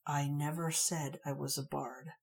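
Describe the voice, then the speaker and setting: A cool-headed young knight, voiced by a woman reading a story. His low, husky voice stays calm and a little wry. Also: a little slow, exaggerated voice. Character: cocky young human knight, man.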